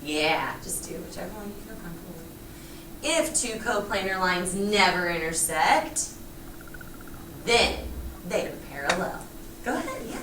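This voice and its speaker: A woman from Texas teaching a geometry class, lively and playful as she coaxes her students along. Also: fairly low pitch, a little slow, long pauses. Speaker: high school geometry teacher. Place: classroom, recorded from the back. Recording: echoey classroom, faint room noise.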